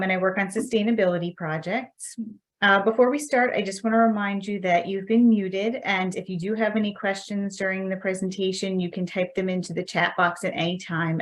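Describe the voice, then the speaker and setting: A woman's voice: medium pitch, fairly bright tone. A woman who works as a town environmental specialist, hosting and introducing an online workshop over a video call in a friendly, organized way. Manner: friendly, organized, some fillers.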